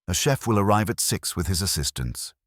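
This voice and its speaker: A man voicing an anxious, well-bred wife in a detective story, husky, earnest and polite. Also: low-pitched, lively intonation, brisk, fairly bright tone, no room sound, studio quality, voice pushed up. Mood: worried.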